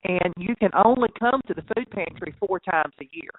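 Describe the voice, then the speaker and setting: Tinny phone-line man, higher-pitched, plain-spoken farmer. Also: animated, brisk. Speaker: local cattle farmer. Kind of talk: casual phone chat. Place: on a phone call.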